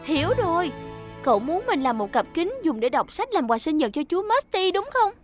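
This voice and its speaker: A very high-pitched young woman mechanic from a children's cartoon. She sounds capable and friendly, with an encouraging, helpful way of talking over faint music. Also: very animated, normal speed. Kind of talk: animated children's cartoon dub.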